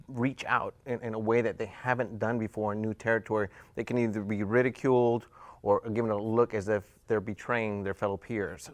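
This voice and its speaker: A man answering as a panel guest in an interview, speaking haltingly as he searches for words. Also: on the low side, no room sound. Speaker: panel guest, juvenile justice volunteer.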